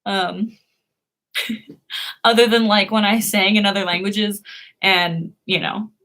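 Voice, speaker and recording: A woman's voice: mid-range voice, steady tone, takes it slowly, sharp tone. A young woman hosting a casual live stream, who chats, laughs often and answers her viewers' comments.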